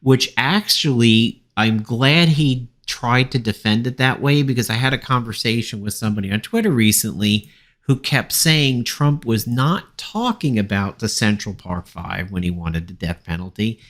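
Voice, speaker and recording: A man giving an informal, opinionated political recap as a commentator, talking from notes in a halting, dismissive way. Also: medium pitch, fairly bright tone.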